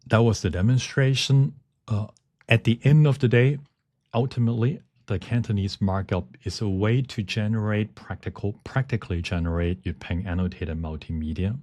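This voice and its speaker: A man giving a technical presentation, speaking haltingly as he searches for words. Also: on the low side, fairly even intonation, a little mellow. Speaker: conference presenter. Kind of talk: technical talk with live demonstration.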